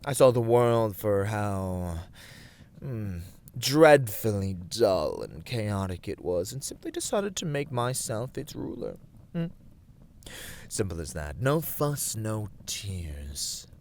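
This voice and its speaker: A man playing an arrogant, teasing villain warlord who craves control, in a theatrical ASMR roleplay, with breathy, mocking, drawn-out delivery. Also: on the low side, sing-song, darkish tone, a little hiss or hum, heavily acted. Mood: bored, self-assured. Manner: haughty, languid, mocking, drawn-out words.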